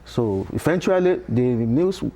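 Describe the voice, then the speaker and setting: A middle-aged Nigerian man, a Yoruba-speaking professor, explaining patiently in a TV interview with frequent pauses. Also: mid-range voice, animated, dark tone. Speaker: professor, guest. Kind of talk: interview conversation. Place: television studio.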